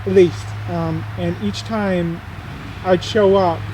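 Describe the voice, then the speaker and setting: A man's voice: sits high, steady tone, slow pace, a little mellow, a little hiss or hum, rough recording. A man in his late twenties chatting casually as a vlog host. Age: late 20s. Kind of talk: casual chat.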